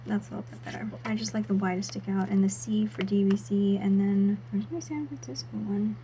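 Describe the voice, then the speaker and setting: A woman talks through her planner setup in a soft, half-whispered voice, her throat still a little sore. Her pitch sits in an ordinary middle range. A steady hiss of background noise runs under the recording. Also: brisk. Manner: soft, half-whispered narration of each step. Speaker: planner vlogger. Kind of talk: casual voice-over while setting up a planner.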